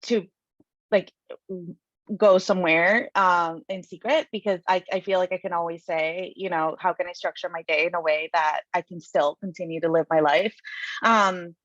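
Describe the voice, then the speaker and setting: A woman's voice: on the low side, fairly even intonation, moderate pace, fairly bright tone, no room sound. A woman from Colombia, a native Spanish speaker, speaking candidly and hesitantly as a panelist on a video call. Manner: hesitant, many fillers and restarts, candid. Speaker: panelist, senior associate. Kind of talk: casual panel talk. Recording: dull dry video call audio.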